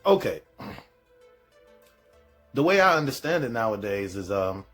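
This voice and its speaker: A man's voice: on the low side, very animated, measured pace, a bit bright, soft background music. A man hosting a reaction show, giving casual, slangy commentary and repeating phrases for emphasis.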